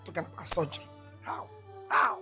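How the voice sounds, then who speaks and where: A woman's voice: on the low side, expressive, brisk, pushed and bright. A woman acting a bitter, impatient middle-aged mother in a film drama, with a Nigerian accent, scolding and repeating herself for emphasis. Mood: bitter, agitated. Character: bitter, impatient mother, callous toward her sick husband. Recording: music playing behind the dialogue.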